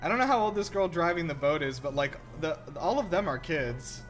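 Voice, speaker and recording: Higher-voiced man joking over a movie. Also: animated, brisk, fairly bright tone. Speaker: host of a movie reaction show. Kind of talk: casual reaction commentary over a film. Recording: film soundtrack playing behind. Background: movie audio with music.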